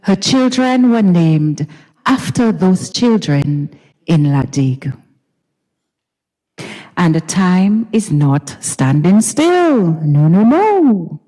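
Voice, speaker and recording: A woman giving a dramatized literary reading on stage in a recital hall, deliberate and theatrical, with pauses and repetition. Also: fairly low pitch, sing-song, dark tone. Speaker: dramatic reader. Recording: recital hall with long reverb.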